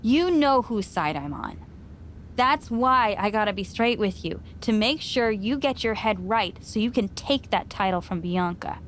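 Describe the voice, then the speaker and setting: A woman plays a wry old friend who needles with dry sarcasm. Her voice sits in the middle for a woman, with a faint low rumble behind it. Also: expressive, measured pace, natural voice. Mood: teasing. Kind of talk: game cutscene dialogue.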